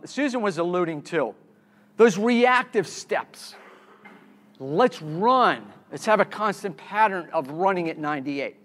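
Keynote speaker, middle-aged man, high-pitched, halting, many restarts, presenting to a room. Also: fairly bright tone. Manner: halting, repeats words and restarts often. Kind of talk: conference talk with slides. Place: conference room.

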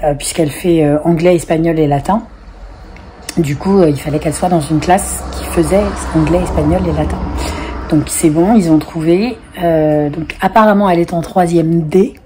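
Woman, 40s, sofa vlogger, congested cold voice, mellow and lowish, faint street hum behind. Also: moderate pace. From France. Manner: chatty, many fillers, relaxed storytelling. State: stuffy nose from a cold. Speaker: family vlogger, single mother. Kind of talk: casual chat to camera. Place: living room on a sofa, window open to a busy street. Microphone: camera at close range.

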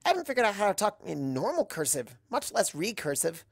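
A man voicing a bubbly, scatterbrained young female pony who rambles excitably. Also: high voice, fairly quick, vocal fry, no room sound, studio quality, voice pushed up, full character voice. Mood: chirpy, reassuring.

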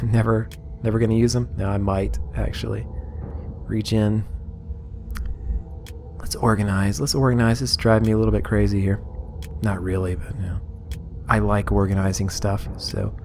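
A man casually commenting on his game as he plays, rambling and amused, a little hoarse. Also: fairly low pitch, a little mellow, a little hiss or hum. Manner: rambling, self-amused, sorting inventory aloud. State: slightly hoarse. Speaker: let's play host.

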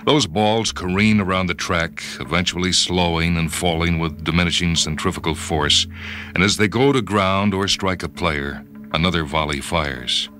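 A man's voice: deep voice, moderate pace, pushed and bright. A man voicing a tough, boastful star of a brutal sport, telling his story with intense swagger in a dramatic radio reading. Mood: grim, tough. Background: background music.

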